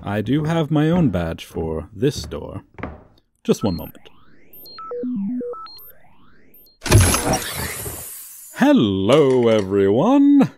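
A man playing a gloating, suave supervillain in a comedic ASMR roleplay, with a theatrical, teasing, drawn-out delivery. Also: mid-range voice, wildly swooping pitch, warm tone, slight background noise, heavily acted. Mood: smug, playful. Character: gloating, suave, theatrical male supervillain toying with a young hero.

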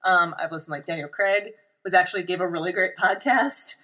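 A woman's voice: lowish voice, a little fast, sharp tone. A woman chatting informally as a co-host of a podcast. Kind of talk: casual chat.

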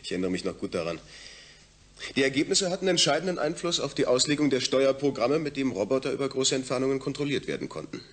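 An actor playing an experienced, reserved and skeptical astronaut in a German radio drama, speaking reflectively and hesitantly. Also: male voice, mid-range voice, expressive, quick pace, lightly acted.

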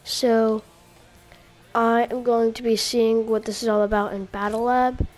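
Sick young gamer woman, slow hesitant talk. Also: a little high, level tone, a little mellow, faint music behind. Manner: hesitant, many fillers, easily confused. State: sick, coughing and sniffling. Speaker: gaming YouTuber. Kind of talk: casual gameplay commentary.

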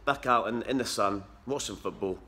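A man's voice: lowish voice, moderate pace, sharp tone. A young man talking casually to his phone held at arm's length, with chatty, rambling asides. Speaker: vlogger. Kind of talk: casual vlog to camera. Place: outdoors at a football ground and at home.

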